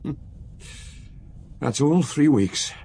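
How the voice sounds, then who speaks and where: A young actor playing an earnest, hesitant junior police detective in a radio drama. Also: male voice, fairly high pitch, animated, takes it slowly, gravelly, dark tone, husky, heavily acted. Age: young adult.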